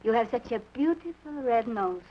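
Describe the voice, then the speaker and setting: A woman's voice: on the high side, animated, moderate pace, slight background noise, close to an everyday voice. A woman playing a teasing young senorita. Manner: teasing, forward. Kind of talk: 1940s radio acting. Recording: muffled old radio broadcast.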